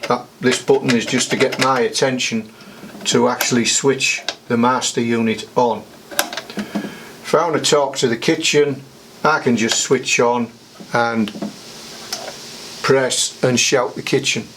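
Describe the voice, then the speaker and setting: A man's voice: mid-range voice, steady tone, unhurried, long pauses, a bit bright, slight background noise. A man giving a casual how-to demonstration, explaining each step in a matter-of-fact way. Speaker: DIY demonstrator.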